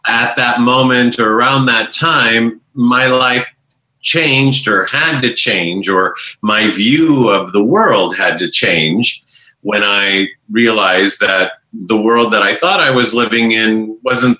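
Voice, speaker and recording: A man from the United States tells his story on a call, his voice bright and forceful. He restarts his sentences often, and the sound bounces around an echoey room. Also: on the low side. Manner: storytelling, hesitant restarts, warm laughs. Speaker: guest, life coach and author. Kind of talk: casual interview. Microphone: remote call microphone.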